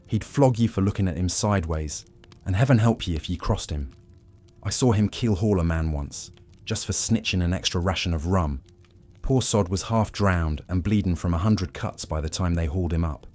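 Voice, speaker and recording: A man voicing a grizzled, world-weary old pirate with a rough, raspy voice, telling grim tales in sailor dialect for a story. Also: low-pitched, expressive, normal speed, a little mellow, soft background music. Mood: bitter, haunted.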